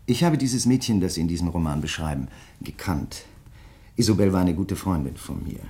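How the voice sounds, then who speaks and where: A courteous crime writer in a radio play. His voice sits on the low side, and he speaks with measured, deliberate politeness, quietly pressing his point as he probes a fellow writer. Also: lively intonation. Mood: grave, intent. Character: courteous, insistent male crime novelist probing a fellow writer. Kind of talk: German radio play acting.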